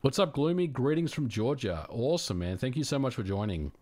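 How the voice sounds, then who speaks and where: A man with an Australian accent hosting a casual livestream, answering viewer questions in a relaxed, friendly way with frequent fillers and restarts. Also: medium pitch, fairly quick. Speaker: livestream host.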